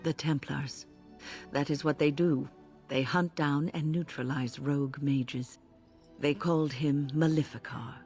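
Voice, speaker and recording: An actress playing an elderly mage mentor in a fantasy game, speaking in a measured, solemn, regretful way. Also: female voice, deep voice, a little mellow. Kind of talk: dramatic fantasy game dialogue.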